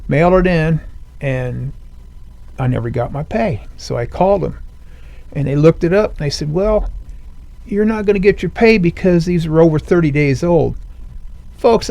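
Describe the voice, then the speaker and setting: An older man speaking as an interview guest, telling his own story in a plainspoken, rambling way full of fillers, his voice high and strained since surgery. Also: vocal fry, a little mellow, no echo. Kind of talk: conversational personal story. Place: veteran center studio.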